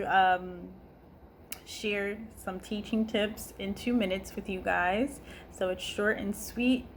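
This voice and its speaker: A woman's voice: medium pitch, a bit bright, slight background noise. A woman in her twenties, an online language teacher, talking casually and earnestly to her viewers. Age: 20s. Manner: earnest and heartfelt, asks herself questions. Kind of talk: casual talk to camera.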